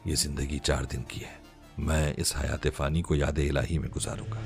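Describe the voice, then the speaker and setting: आदमी द्वारा निभाया गया सम्मोहित, खोया हुआ नौजवान. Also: male voice, extremely low pitch, normal speed, music in the background. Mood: dazed. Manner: flat, distant, repeating orders. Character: hypnotized young man, dazed and obedient.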